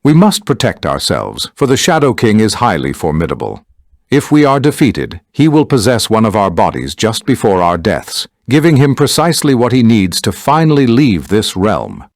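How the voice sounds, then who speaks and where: A low, very expressive voice of a dignified psychic mentor, played by a man. He speaks formally and gravely, like a video game team leader guiding his heroes. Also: moderate pace. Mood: solemn warning. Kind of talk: scripted video game character acting.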